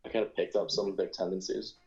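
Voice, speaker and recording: Deep-voiced young man with a New York accent. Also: little pitch movement, fast talker, darkish tone, lo-fi sound. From United States. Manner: rambling, hesitant, lots of fillers and self-corrections. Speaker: podcast guest. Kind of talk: casual conversation.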